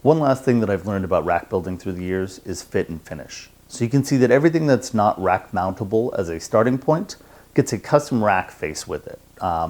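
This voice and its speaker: A man's voice: on the low side, darkish tone. A middle-aged American man presenting a semi-scripted product demonstration indoors at a house.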